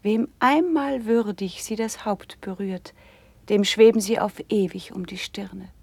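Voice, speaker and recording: An actress playing a gentle, noble princess in a classical radio verse drama, speaking softly and breathily with tender restraint. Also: female voice, mid-range voice, unhurried, dry recording. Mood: gently admonishing. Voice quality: soft, breathy, often near whisper.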